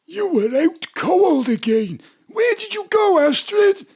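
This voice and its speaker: A woman acting in an audio drama as rattled, excitable local men, theatrical and protesting. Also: very high voice, slow pace, exaggerated voice. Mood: insistent. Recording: remote home-studio recording.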